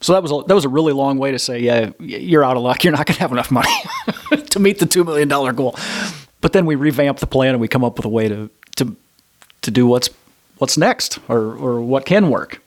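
A man's voice: medium pitch, lively intonation. Plain-spoken man giving financial advice. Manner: blunt and plain-spoken, frequent restarts, stresses key points slowly. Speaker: financial advisor and author, podcast guest. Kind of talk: conversational interview answers.

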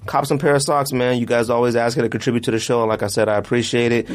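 A man's voice: mid-range voice, flat delivery, very fast. A man hosting a casual podcast in a studio, talking in loose slang and repeating himself for emphasis. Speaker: podcast host. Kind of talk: casual sneaker podcast banter.

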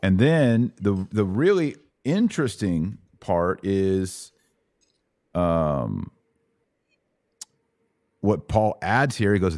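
A man with a very low voice teaches scripture in a conversational podcast style. He explains patiently in steps and checks in with short tag questions. The sound is dry and close, without room reflections. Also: a little mellow, no room sound. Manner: explanatory, checks understanding with rhetorical tags. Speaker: host and Bible teacher answering questions.

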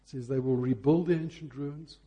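A man's voice: medium pitch, fairly even intonation, a little fast, mellow, breathy edge. A man preaching a sermon, phrasing emphatically and repeating key words for effect.

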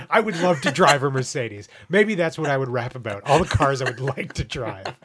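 Wry man with a mid-pitched voice. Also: fairly bright tone, husky. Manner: wry, self-deprecating. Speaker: podcast host. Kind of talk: casual, joking chat.

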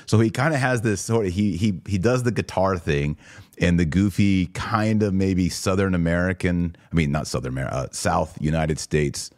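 A man's voice: sits low, normal speed, a little mellow, dry recording, studio quality. A man hosting a podcast, giving casual, musing commentary on a video clip in a conversational way. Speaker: podcast host.

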